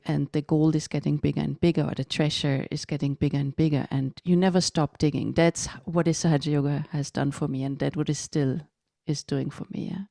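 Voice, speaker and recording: A woman's voice: low voice, creaky, dark tone, no echo, studio quality. A woman from Austria, speaking English as an on a video call, earnestly sharing her experience with meditation. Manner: earnest, slightly non-native phrasing. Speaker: meditation guide and flute player. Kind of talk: casual personal sharing.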